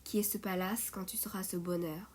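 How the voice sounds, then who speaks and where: A woman reciting poetry in a measured way, phrase by phrase. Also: fairly low pitch, fairly even intonation, darkish tone. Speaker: poet reading own poem. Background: music.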